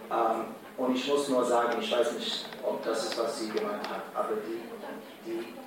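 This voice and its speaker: A man whose first language is not German, speaking haltingly on a discussion panel and searching for his words. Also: mid-range voice, flat delivery, crowd noise. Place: large hall at a community event. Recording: roomy hall sound from far off, noise.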